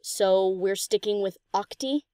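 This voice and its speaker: A woman's voice: mid-range voice, unhurried, a little mellow, no room sound, clean studio sound, close to an everyday voice. A woman voicing a cheeky, laid-back DJ pony in an audio story, with a casual, teasing, slangy delivery. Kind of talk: scripted audio story character acting.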